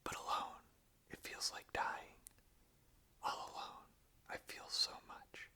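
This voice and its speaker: An ASMR host speaking in a breathy, unvoiced whisper, gentle and enthusiastic in manner. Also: slow. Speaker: ASMR host chatting about and reading song lyrics.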